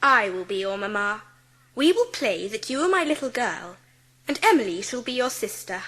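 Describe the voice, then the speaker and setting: A woman's voice: a little high, very animated, moderate pace, sharp tone, heavily acted. A woman playing a kind, polite, earnest young schoolgirl in a dramatised children's audiobook. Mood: tender, dreamy. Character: kind, composed, imaginative seven-year-old schoolgirl.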